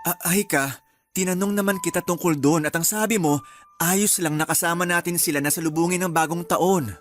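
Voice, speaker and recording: A man's voice: a little high, fairly even intonation, brisk, a bit bright, a little hiss or hum, lightly acted. A man playing a mild, placating young husband in a Filipino radio drama, with a Filipino accent. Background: music.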